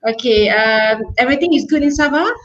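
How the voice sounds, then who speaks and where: A woman's voice: a little high, brisk, a bit bright. Woman moderating a webinar, muffled call. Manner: filler-heavy, often ends with "yeah?" and "right?". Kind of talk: online webinar hosting. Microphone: video call. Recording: muffled online call audio.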